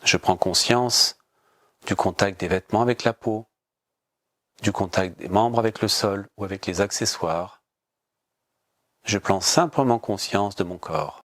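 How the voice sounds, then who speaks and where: A man leading a guided yoga relaxation as a teacher, giving calm, measured, repetitive instructions. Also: on the low side, no room sound, clean studio sound.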